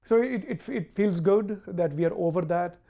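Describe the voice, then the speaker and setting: Guy doctor in news interview, mellow highish voice, halting. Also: vocal fry.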